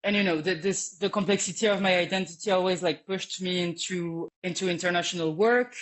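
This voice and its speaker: A woman's voice: lowish voice, fairly even intonation, a little fast. A woman who grew up in Lebanon and France, speaking as a consultant guest on a podcast. She talks thoughtfully and hesitantly, with many fillers and restarts. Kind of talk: conversational interview.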